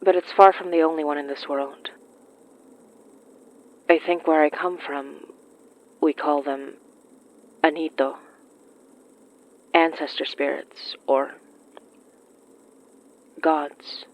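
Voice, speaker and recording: A woman's voice: low-pitched, steady tone, takes it slowly, long pauses, rough recording. An actress playing an earnest, troubled young woman, speaking hesitantly and thoughtfully in a naturalistic audio drama. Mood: pensive.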